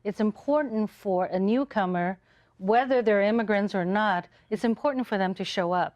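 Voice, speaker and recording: A woman's voice: mid-range voice, moderate pace, a little mellow, no echo. A woman hosting a talk show, encouraging her guests and affirming what they say. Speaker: host.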